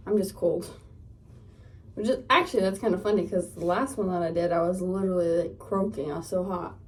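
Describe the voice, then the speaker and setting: A woman's voice: on the low side, fairly even intonation, fairly quick. A young woman from Northern California with a Californian accent, chatting casually in a get-ready-with-me vlog. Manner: rambling, chatty, lots of fillers. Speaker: lifestyle vlogger. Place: home, getting ready. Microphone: phone camera. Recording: voice a bit off-mic, from a distance.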